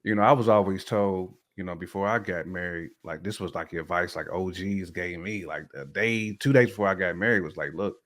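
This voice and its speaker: A man's voice: deep voice, fairly quick, few pauses, a bit bright. A man chatting casually on a podcast, giving opinions in informal, slangy, emphatic phrases. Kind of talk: casual chat, opinionated commentary.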